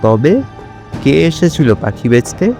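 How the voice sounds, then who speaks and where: A man's voice: fairly high pitch, big pitch swings, slow, vocal fry, over music, exaggerated voice. A male actor playing a puzzled, curious police officer in an audio drama, speaking in a questioning manner. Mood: puzzled, probing. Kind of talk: Bengali audio drama dialogue.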